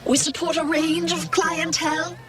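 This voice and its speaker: Woman playing a high-voiced, haughty alien shopkeeper. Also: little pitch movement, moderate pace, soft background music. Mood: businesslike. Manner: prim, formal, businesslike salesmanship. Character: indignant female squid-like alien shopkeeper, haughty merchant. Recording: loud crowd bustle behind. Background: busy market crowd.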